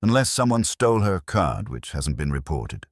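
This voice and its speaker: A man's voice: extremely low pitch, sing-song, moderate pace, no echo, clean studio sound, heavily acted. A man voicing a wary, matter-of-fact office tech worker in a detective audiobook, clipped and technical. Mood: revealing.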